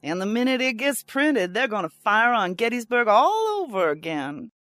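An actress playing a blunt, sarcastic, wisecracking theater maid in a radio drama. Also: female voice, fairly high pitch, very animated, normal speed, a bit bright, no room sound, faint music behind.

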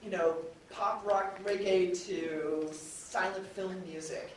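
A woman speaking as a podcast producer on a panel, chatting unscripted to an audience in an animated way. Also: lowish voice, takes it slowly. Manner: chatty, animatedly mimics others in quoted speech. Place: convention panel room with an audience. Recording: very echoey room, distant mic, faint noise.

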